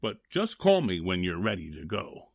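A man playing a plain-spoken, obliging prison guard in a 1940s radio drama, with a husky voice and folksy, colloquial speech. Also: deep voice, huge pitch swings, normal speed, very noisy, heavily acted. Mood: gruff, obliging.

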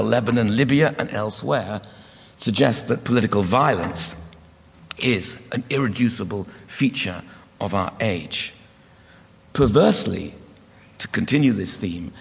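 Hoarse, low-voiced male lecturer, formal and measured, recorded in a lecture hall with long reverb. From United Kingdom. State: hoarse, croaky voice. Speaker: guest lecturer. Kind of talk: academic lecture.

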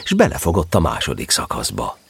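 A man with a husky voice narrating a scripted children's story for an audio drama. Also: extremely low pitch, wildly swooping pitch.

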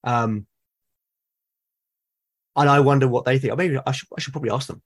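A man with a British accent speaks as a guest in a relaxed podcast conversation. His voice is mid-pitched and a little rough. He phrases things thoughtfully and haltingly, building each point step by step. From Britain. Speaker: podcast guest, game master and podcast creator. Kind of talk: casual interview conversation.

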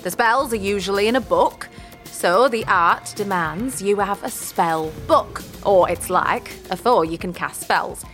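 Woman acting a slow-spoken old village witch. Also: medium pitch, a bit bright, faint music behind, close to an everyday voice. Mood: instructive. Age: older. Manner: folksy archaic phrasing, repeating her words. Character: kindly, cagey old midwife witch, folksy magic teacher. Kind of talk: fantasy audio drama acting.

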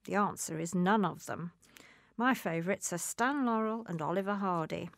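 A woman reading a scripted first-person piece aloud in a measured, storytelling way. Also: lowish voice, vocal fry, no room sound, studio quality. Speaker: reader.

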